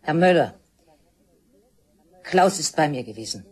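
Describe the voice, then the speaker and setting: A woman's voice: low voice, animated, unhurried, darkish tone, breathy edge, full character voice. An actress playing an imperious, stubborn older mother in a crime radio play, with a firm, cutting, formal way of talking. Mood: indignant, accusing.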